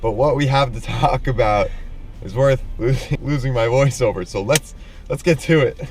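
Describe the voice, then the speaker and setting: A man with a hoarse voice from being sick, chatting excitedly as co-host of a car vlog. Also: mid-range voice, normal speed, faint music behind. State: losing his voice, sick. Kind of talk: casual car vlog chat. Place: outdoors by a car. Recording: distant mic, loud street noise.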